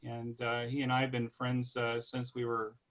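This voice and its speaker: A man in his sixties from California with an American accent, giving an informal, halting, conversational online presentation. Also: medium pitch, monotone, a bit bright. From California, United States. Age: 60s. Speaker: guest presenter.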